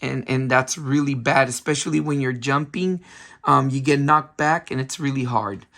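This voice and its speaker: A man with a hoarse voice, still recovering from a cold, hosting a casual game review with lots of ums and restarts. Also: medium pitch, moderate pace, sharp tone. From San Francisco Bay Area. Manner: chatty, many ums, restarts himself. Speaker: retro game collector channel host.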